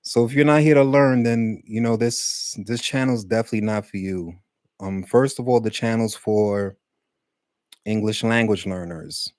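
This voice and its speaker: Low-voiced man teaching English casually. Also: darkish tone, dry recording, studio quality. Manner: conversational, many fillers, speeds up and slows for emphasis. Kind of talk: casual rambling lesson talk.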